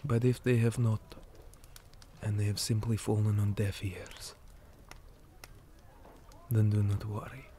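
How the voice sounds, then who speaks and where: A weary, principled orc commander, acted out by a man for an ASMR roleplay. He speaks very slowly in a low, dark voice, grave and measured, often sinking into a breathy whisper. There is faint noise behind him. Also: little pitch movement. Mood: calm, resolute.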